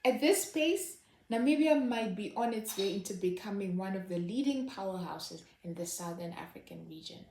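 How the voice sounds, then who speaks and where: A woman delivers scripted satirical commentary in a sardonic, knowing tone. Her voice sits at an ordinary middle pitch, and the recording carries a noticeable roomy echo. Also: lively intonation, moderate pace, warm tone, slight background noise. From Zimbabwe. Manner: sardonic, wry. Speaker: host. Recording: echoey room.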